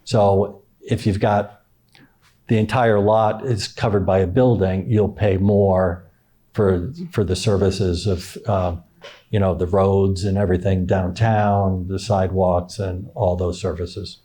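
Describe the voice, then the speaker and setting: A man working for the town, answering a panel question haltingly with many ums and uhs. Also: low-pitched, little pitch movement, dark tone. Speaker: town stormwater official. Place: public forum room.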